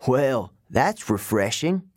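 A smooth and mocking ferryman of the dead, acted by a man reading a story, speaking with a British accent. He drawls slowly and condescendingly, his pitch rising and dipping with cold, sardonic relish. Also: very animated, darkish tone, dry recording, studio quality. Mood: dryly amused.

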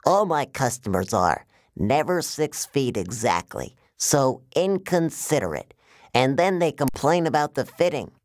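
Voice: medium pitch, big pitch swings, unhurried, a little mellow, no room sound, clean studio sound, pitched above the performer's own voice. A performer voicing a genial but menacing male giant who talks in folksy, drawling sales patter, in an audiobook. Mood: chatty, pleased. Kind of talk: audiobook character voice.

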